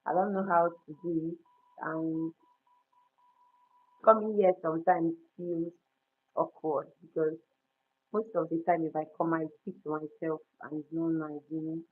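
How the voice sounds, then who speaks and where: Nigerian woman in her 30s, Igbo native, casual livestream host, halting, soft-spoken, phone-quality sound. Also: sits low, level tone, slight background noise. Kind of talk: casual livestream chat with viewers. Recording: tinny phone-like audio.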